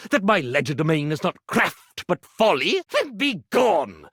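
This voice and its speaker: A pompous stage magician for a game, raspy n kinda high, super theatrical old-timey bragging about dark magic. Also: male voice, sing-song, unhurried, dry recording, clean studio sound, exaggerated voice. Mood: indignant, haughty. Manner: grandiose, archaic, melodramatic declaiming. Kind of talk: theatrical video game character acting.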